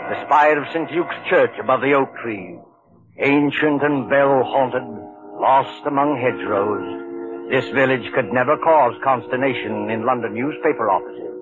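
A man narrating a 1940s radio drama in a measured, atmospheric way. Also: mid-range voice, natural voice. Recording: muffled old radio recording with music.

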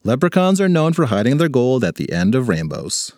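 A man's voice: mid-range voice, very animated, a little fast, a little mellow, no echo, clean studio sound, natural voice. A man voicing a headstrong, sarcastic fifth-grade girl with a dramatic manner in a children's story. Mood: matter-of-fact. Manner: exasperated, dramatic delivery.

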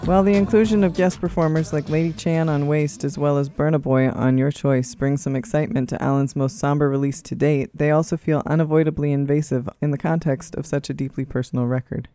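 A narrator reading a scripted album review in a measured, written style. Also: medium pitch, fairly even intonation, warm tone, soft background music.

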